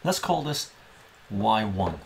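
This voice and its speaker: A man's voice: fairly low pitch, sing-song, slow pace, creaky, slight background noise. A middle-aged man born in Iran with Armenian as his first language, chatting casually through a math lesson at a whiteboard in a small home room and often asking "right?". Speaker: math tutor.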